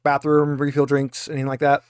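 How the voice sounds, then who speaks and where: A man with a slightly high voice talks quickly as a game master, casually narrating scenes and explaining rules. The sound is dry and close. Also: completely flat delivery, a little mellow, clear, resonant tone, dry recording.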